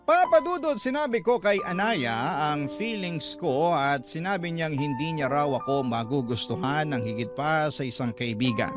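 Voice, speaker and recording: A man with a Filipino accent reading a listener's story as a radio host, in sentimental, measured, dramatic narration. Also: fairly high pitch. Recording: loud music under the voice. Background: loud background music.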